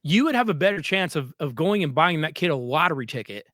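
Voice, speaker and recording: A man's voice: fairly high pitch, animated, fast, bright, forceful tone, no room sound, clean studio sound. A man hosting a conversational interview, emphatic and repeating phrases to stress his points. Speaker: podcast host, former coach.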